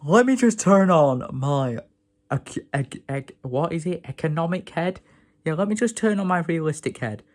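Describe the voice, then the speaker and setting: A young man from England, hoarse from a sore throat, rambling and grumbling in a casual vlog rant. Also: on the high side, lively intonation, moderate pace, darkish tone. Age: young adult. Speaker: vlogger.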